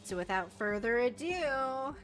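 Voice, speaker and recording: A woman playing a smug, controlling host of a reality dating show in a game, with a brisk, showy manner. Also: a little high, animated, fairly bright tone, pitched above the performer's own voice.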